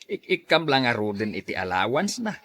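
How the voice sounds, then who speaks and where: A man's voice: medium pitch, very animated, normal speed, a bit bright, dry recording. An actor playing a blunt, fatherly older man in an Ilocano radio drama. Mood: disapproving.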